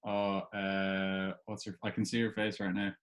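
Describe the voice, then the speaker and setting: A man's voice: low voice, monotone, moderate pace. A man hosting a casual interview chat, easygoing and a little tentative. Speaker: podcast host.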